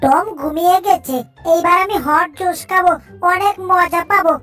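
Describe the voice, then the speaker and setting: A woman's voice: extremely high pitch, moderate pace, a bit bright, soft background music. A woman voicing an innocent, playful baby crocodile in a cartoon dub, with a childlike singsong delivery.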